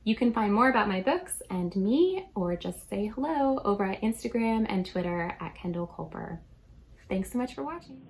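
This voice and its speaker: A woman's voice: mid-range voice, animated, normal speed, darkish tone, a little hiss or hum, poor audio. A woman author sharing a fact and inviting listeners to find her books, in a friendly manner. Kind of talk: casual video message.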